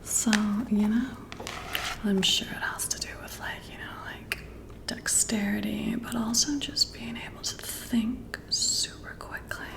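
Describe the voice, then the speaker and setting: A woman speaks in a hushed half-whisper for ASMR, intimate and musing. Her pace is slow and unhurried, and her voice breaks into heavy creak. It feels like a casual one-sided chat with the listener. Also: a little high, steady tone. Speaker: ASMR roleplay performer.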